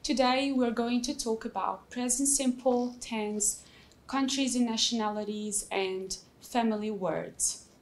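Woman, Albanian accent, young adult, teacher, unhurried, slightly high voice, patient examples. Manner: slow, patient, explaining with examples. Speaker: English teacher. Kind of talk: recorded classroom lesson.

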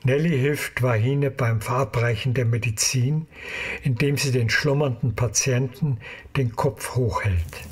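A man narrating an audio drama in a measured storytelling manner. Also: mid-range voice, steady tone, breathy edge.

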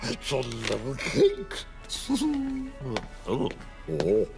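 A man voicing a childlike, bumbling middle-aged cartoon character who mumbles haltingly in gasps and exclamations. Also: high-pitched, wildly swooping pitch, vocal fry, exaggerated voice. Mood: curious, delighted. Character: childlike, bumbling middle-aged man fussing over his teddy bear. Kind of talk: cartoon voice acting. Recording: loud music behind the voice.

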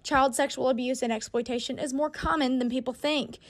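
A young woman works as a TV reporter, her voice high-pitched. She delivers a scripted field report into a handheld mic. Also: steady tone, a little fast, no echo. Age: 20s. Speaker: TV news reporter. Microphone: handheld microphone.

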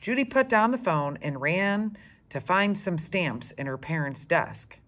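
A middle-aged woman, a teacher reading a children's book aloud in an expressive, deliberate way with explanatory asides. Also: on the low side. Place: school classroom, indoors.

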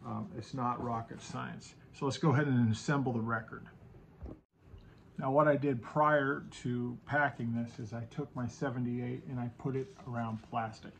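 Guy in his 30s doing a casual howto vlog, kinda creaky voice, some room noise behind him. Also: medium pitch, fairly even intonation, normal speed. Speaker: video host, online seller. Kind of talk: casual how-to talk to camera. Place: small indoor home office with bookshelves. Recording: clear background room noise.

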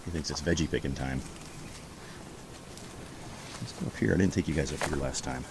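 Very deep-voiced man touring his garden. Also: normal speed, creaky, noisy background. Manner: relaxed, chatty, points things out while walking. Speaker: homestead vlogger. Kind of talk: casual garden walkthrough. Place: backyard garden outdoors. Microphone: handheld camera held while walking.

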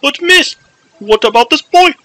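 Man voicing a fretful high-pitched pirate underling. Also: lively intonation, normal speed, sharp tone, faint music behind, exaggerated voice. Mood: fretful, relieved. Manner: fretful, stammering. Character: anxious, fawning male pirate underling. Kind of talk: comedic video game roleplay dub.

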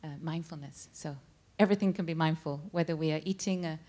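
A man, a monk, calmly answering audience questions at a cinema Q&A, unhurried and with frequent pauses and fillers. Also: fairly high pitch. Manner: calm, unhurried, with many ums and uhs. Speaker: monk at a practice center. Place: cinema stage at a Q&A. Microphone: handheld mic.

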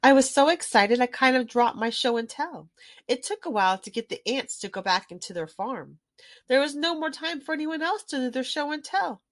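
A woman reading a children's storybook aloud in an expressive storytelling manner. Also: sits high, moderate pace, no echo. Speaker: children's book reader. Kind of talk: scripted storybook read-aloud.

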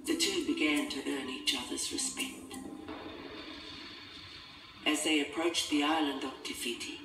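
A man's voice: fairly high pitch, steady tone, a little slow. A man reading a scripted children's storybook as its narrator. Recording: echoey, distant, music behind.

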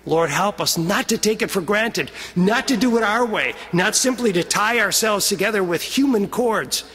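American male priest, high-pitched, husky, earnest homily, emphatic drawn-out phrases, long chapel reverb. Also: expressive, sharp tone. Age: middle-aged. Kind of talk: homily, telling stories and exhorting. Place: chapel pulpit, indoors. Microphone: gooseneck lectern microphone.

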